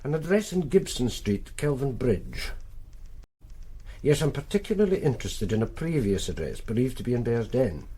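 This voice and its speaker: An actor playing a dry, weary, matter-of-fact police sergeant in a radio drama. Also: male voice, medium pitch, big pitch swings, moderate pace, dark tone, husky. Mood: businesslike. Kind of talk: naturalistic radio drama acting.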